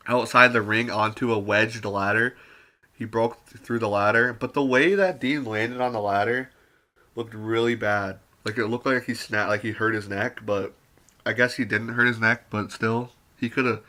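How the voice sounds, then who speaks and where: A man giving a rambling, casual spoken review full of fillers and mild swearing, his voice turning raspy with occasional coughs. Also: on the low side, sharp tone. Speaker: wrestling show reviewer.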